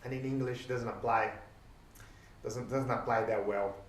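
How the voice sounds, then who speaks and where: A man with a Portuguese-native lilt talks through his ideas slowly, stopping to ask rhetorical questions. He sits a little back from the mic in a roomy space, with faint ambience behind him. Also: mid-range voice, steady tone, recorded from a distance, a little hiss or hum. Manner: thoughtful, pauses often, rhetorical questions. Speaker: host. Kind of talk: reflective solo talk.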